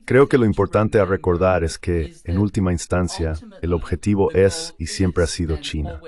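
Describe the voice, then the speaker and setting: A man with a deep, low voice offers geopolitical analysis. He speaks as a panel guest in a dubbed voice-over. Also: normal speed, darkish tone.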